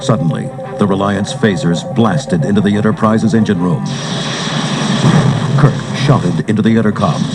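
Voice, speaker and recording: A man's voice: fairly low pitch, moderate pace, rough recording. A man giving dramatic scripted narration for a read-along adventure story. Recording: cassette transfer with music underneath.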